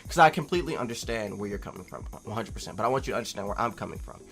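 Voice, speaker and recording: A man's voice: on the low side, lively intonation, racing, creaky. Emphatic man in casual commentary over music. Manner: emphatic, repeats phrases for stress, swings from loud insistence to quiet asides. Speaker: video host replying to a viewer comment. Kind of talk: casual opinionated commentary to camera.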